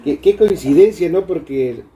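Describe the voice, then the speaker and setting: A man's voice: on the high side, lively intonation, normal speed. A man in his seventies with an Argentine Rioplatense accent, reminiscing casually and often breaking off mid-thought. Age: 70s. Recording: distant from mic, background noise.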